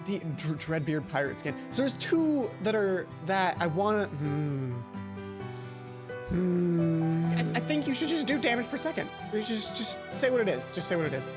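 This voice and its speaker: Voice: medium pitch, big pitch swings, normal speed. Gaming youtuber doing a let's play, rambly and playful, keeps interrupting themselves, game music behind the voice. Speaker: gaming video host. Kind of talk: let's play commentary, reading visual novel text aloud. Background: video game music.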